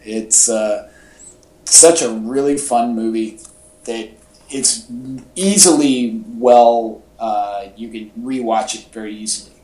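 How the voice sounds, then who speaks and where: A man's voice: fairly low pitch, slow pace, mellow. A man chatting casually as a podcast host, talking like an enthusiastic fan with plenty of casual slang. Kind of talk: casual pop-culture podcast chat. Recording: echoey, roomy home podcast audio.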